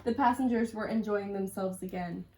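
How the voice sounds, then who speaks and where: A woman's voice: mid-range voice, moderate pace, dark tone. A woman reading a children's picture book aloud as a storyteller, recorded at a distance from the microphone. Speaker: school storyteller.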